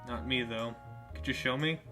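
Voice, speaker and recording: A man's voice: medium pitch, normal speed, soft background music, lightly acted. A man doing a shy, nervous outcast boy. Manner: quiet, hesitant, mumbling.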